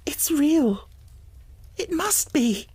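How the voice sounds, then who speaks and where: A woman's voice: sits high, very animated, extremely slow, mellow, no echo, voice pushed up, exaggerated voice. A woman voicing a poor, dreamy young girl full of wonder, in rapt, fervent exclamations for a storybook. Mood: amazed, rapturous. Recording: music bed under the voice. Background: music.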